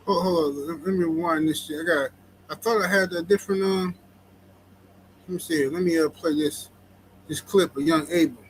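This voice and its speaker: A male host with a clearly high voice and a joking, teasing manner. He runs casual banter on a live show and ribs his guests. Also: steady tone, normal speed, slight background noise, poor audio. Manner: teasing, jokey.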